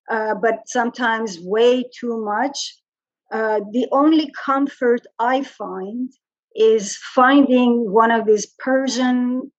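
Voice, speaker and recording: Woman from Iran, hesitant symposium questioner, slow, higher voice, muffled call audio. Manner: hesitant, thoughtful, many fillers. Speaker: symposium participant. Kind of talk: spoken question in online discussion. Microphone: video call.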